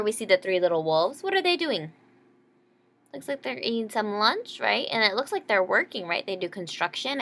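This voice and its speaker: A woman's voice: medium pitch, a bit bright. A woman storyteller reading a children's picture book aloud in a teacherly way, explaining as she goes. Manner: checks in with listeners using short questions.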